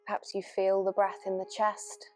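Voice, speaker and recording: A woman yoga nidra teacher leading a guided relaxation meditation gently and without hurry, leaving long pauses. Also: medium pitch, completely flat delivery. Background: new-age music.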